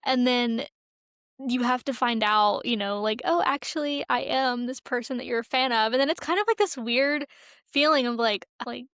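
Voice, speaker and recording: A woman's voice: fairly high pitch, normal speed, a bit bright, clean tone, no room sound, studio quality. A young woman co-hosting a podcast, talking casually about pop culture in chatty, slangy, informal speech. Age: young adult. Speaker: podcast co-host.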